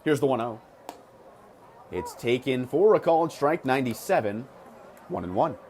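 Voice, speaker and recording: A man's voice: on the high side, darkish tone. An American man calling live baseball on the radio, mixing punchy, clipped calls with stat-filled asides. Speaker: baseball radio play-by-play announcer. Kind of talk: live sports commentary. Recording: clear ballpark noise behind the voice.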